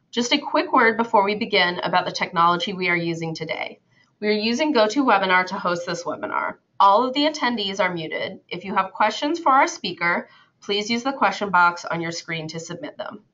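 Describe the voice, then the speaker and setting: A woman's voice: mid-range voice, pushed and bright. A woman hosting a webinar as its coordinator, reading a formal, scripted introduction. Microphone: computer microphone in an online webinar. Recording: slightly muffled webinar audio.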